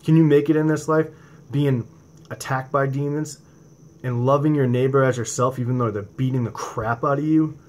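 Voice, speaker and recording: A man in his forties with a New England accent, preaching informally to his viewers from home and talking into a webcam, swinging from impassioned, rising emphasis to a quieter conversational tone. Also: mid-range voice, fairly even intonation, normal speed. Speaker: religious video host. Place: home room indoors at night. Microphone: webcam or laptop camera from below.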